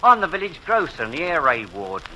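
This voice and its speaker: Sharp-voiced plucky English grocer. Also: male voice, on the high side, big pitch swings, quick pace, slight background noise, full character voice, poor audio. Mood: plucky, earnest. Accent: English working-class. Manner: folksy, earnest, colloquial. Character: humble, plucky village grocer and air raid warden. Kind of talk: 1940s radio acting.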